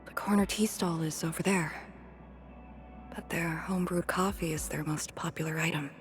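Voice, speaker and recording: An actress playing a haunted, wistful young woman in a video game, speaking softly and hesitantly in a breathy near-whisper. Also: lowish voice, fairly even intonation, natural voice. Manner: slow, hesitant, pensive. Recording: music behind the voice. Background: background music.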